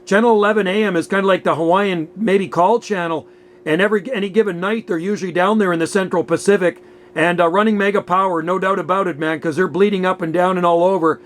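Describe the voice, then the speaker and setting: A man's voice: sits high, fairly even intonation, quick pace, a bit bright, slight background noise. A man chatting casually as a radio operator, chummy and slangy. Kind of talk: casual radio contact chat.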